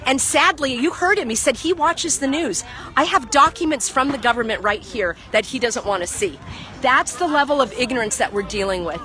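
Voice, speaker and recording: A woman with a high, bright voice talks with fervor into a phone held in her own hand. She lectures and repeats her points over and over. She is outdoors in a parking lot. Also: expressive, fairly quick. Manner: impassioned, lecturing, repeats herself. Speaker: vlogger. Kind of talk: outdoor phone vlog, heated argument. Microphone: phone held by the speaker.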